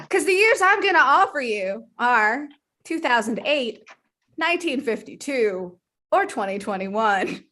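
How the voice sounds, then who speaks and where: A woman's voice: on the high side, very animated, unhurried, pushed and bright, a little hiss or hum. A woman guest-hosting a trivia game show over a video call, chatty, giggly and self-deprecating.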